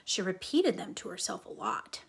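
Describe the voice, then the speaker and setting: A woman reading a children's book aloud as narrator, expressively and with a slightly husky, breathy voice, explaining things to young listeners. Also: lowish voice, big pitch swings, moderate pace. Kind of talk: children's storybook reading with brief asides.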